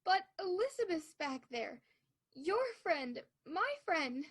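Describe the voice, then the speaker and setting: Woman acting a very high, frightened young woman. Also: sing-song, slow, fairly bright tone, full character voice. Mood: shocked, plaintive. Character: frightened, fragile young woman, panicky and tearful. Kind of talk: 1940s-style radio play acting.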